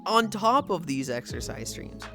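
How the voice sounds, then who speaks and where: A woman's voice: low voice, unhurried, vocal fry. Woman in her thirties, livestream chat, swears a lot, laughs mid-rant, working out, music playing. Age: 30s. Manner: animated, swears freely, laughs through rants. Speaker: streamer.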